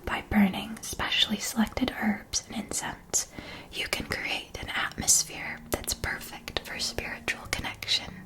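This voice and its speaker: Casting: a woman playing a courteous magic-shop keeper for ASMR roleplay, whispering throughout, slow and gentle. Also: medium pitch, flat delivery, lightly acted. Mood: soothing, explanatory. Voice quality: soft, breathy whisper. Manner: slow, gentle, measured, attentive salesmanship. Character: courteous, helpful woman shopkeeper at a witch school supply store.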